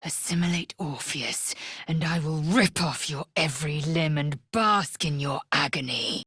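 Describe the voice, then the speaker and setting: A woman's voice: sits low, unhurried, a bit bright, slightly rough, dry recording, studio quality, full character voice. A woman voicing a proud, fierce alien warrior woman in a video game, with an imperious, clipped and contemptuous delivery. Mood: menacing.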